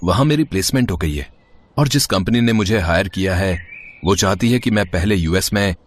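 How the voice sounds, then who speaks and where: A man's voice: low-pitched, moderate pace, a little hiss or hum, lightly acted. A man playing an earnest, devoted young college boyfriend in story dialogue. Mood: earnest, reassuring. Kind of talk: animated Hindi story dialogue.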